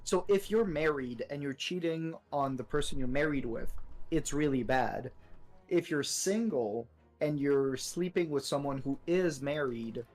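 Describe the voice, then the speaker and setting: Higher-voiced young man, profane and teasing. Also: a little slow, a little mellow, soft background music, poor audio. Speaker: live stream co-host. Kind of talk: casual banter among friends.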